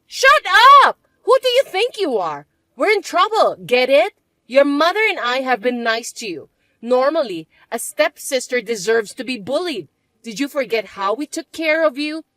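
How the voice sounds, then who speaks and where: A woman voicing a spoiled young stepsister who taunts and bosses in a shrill, mocking way. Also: big pitch swings, normal speed, fairly bright tone, dry recording, low-quality recording. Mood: taunting.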